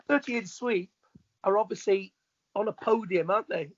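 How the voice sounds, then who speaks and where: A middle-aged Welsh man with a fairly high voice speaks as an entertainer being interviewed. He chats in an easy anecdotal way with little asides to the listener. The sound is slightly dull, though fairly dry. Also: a bit bright, no room sound. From Wales. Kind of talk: casual anecdotal chat.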